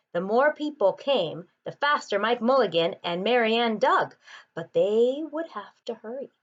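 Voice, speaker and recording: A woman reading a children's picture book aloud, with expressive and deliberate phrasing. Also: medium pitch, dull audio. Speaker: storybook reader.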